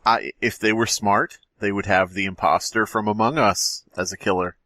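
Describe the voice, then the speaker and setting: A man's voice: lowish voice, steady tone, normal speed, sharp tone, dry recording. A man co-hosting a podcast in casual, joking chat. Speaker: podcast co-host.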